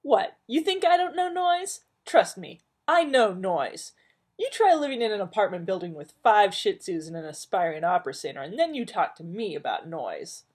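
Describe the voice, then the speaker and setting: A woman's voice: medium pitch, very animated, normal speed, a little mellow, breathy edge, voice pushed up. A woman voicing an unflappable, dry-witted woman who speaks bluntly and sardonically. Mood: sardonic.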